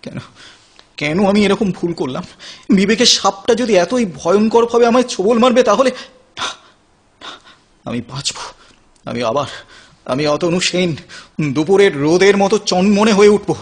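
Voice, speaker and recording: An actor playing a despairing, sickly young recovering addict in an audio drama, halting and stammering with melodramatic anguish, in a husky, gravelly voice. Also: male voice, sits high, expressive. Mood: weary, then desperate. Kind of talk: Bengali audio drama acting.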